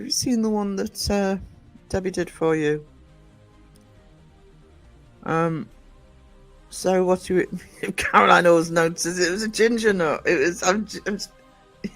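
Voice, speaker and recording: A croaky man hosts a casual live stream from home, rambling along with plenty of ums and greeting viewers by name. His voice sits a little higher than most men's. Soft music plays faintly behind him. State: croaky from just waking up. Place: indoors at home. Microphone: desk microphone.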